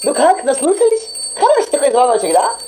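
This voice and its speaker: Woman, parody radio co-host, deadpan interjections, lively pitch. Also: brisk, background noise. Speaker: co-presenter in a comedy skit.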